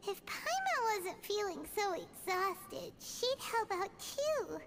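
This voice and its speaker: Squeaky, extremely high childlike fairy companion. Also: female voice, big pitch swings, slow, very noisy, exaggerated voice. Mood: weary. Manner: cutesy, refers to self by name. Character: small childlike fairy companion, bubbly, speaks in third person. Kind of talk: video game voice acting.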